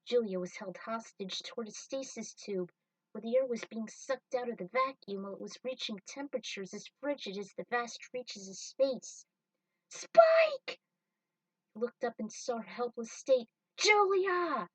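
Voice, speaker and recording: A woman's voice: a little high, moderate pace, no room sound. Woman narrating a story, slightly distant. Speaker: narrator and reader of a fan fiction story.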